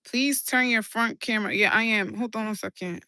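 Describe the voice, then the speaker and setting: A woman with a New York accent rambles through a casual live stream, excitable and quick to swear. Her voice is bright and sits on the higher side. She talks into her phone up on an outdoor rooftop, and the sound is dry and close. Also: dry recording. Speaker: livestreamer. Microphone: handheld phone.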